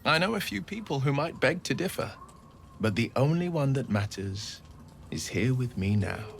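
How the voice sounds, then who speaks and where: A man's voice: mid-range voice, sing-song, moderate pace, creaky, a little hiss or hum. An actor playing a gallant, romantic young swordsman in a video game, courtly, poetic and earnest in manner. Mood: warm, courtly. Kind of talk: dramatic video game voice acting.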